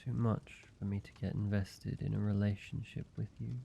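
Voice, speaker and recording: A man voicing a weary, guarded ancient vampire who is grieving yet tender, speaking haltingly in an intimate roleplay audio drama with a gravelly voice. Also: little pitch movement, dark tone, a little hiss or hum. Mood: sorrowful, resigned. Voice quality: low, gravelly. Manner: slow, halting, hesitant delivery with pauses.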